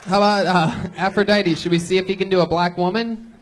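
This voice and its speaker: High pitched guy roasting a comic on stage at a live show, teasing and quick, handheld mic. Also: fairly even intonation, a little hiss or hum. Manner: teasing, mock-insulting, quick jokes. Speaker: comedy podcast host. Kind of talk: live comedy roast interview. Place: comedy club stage before a live audience.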